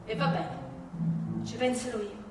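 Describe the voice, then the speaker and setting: A woman's voice: a little high, fairly even intonation, a little slow, natural voice. Woman playing a brave village girl, echoey hall. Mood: determined. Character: brave, simple village girl who turns giantess. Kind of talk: theatrical storytelling. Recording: echoey hall, distant mic, music behind.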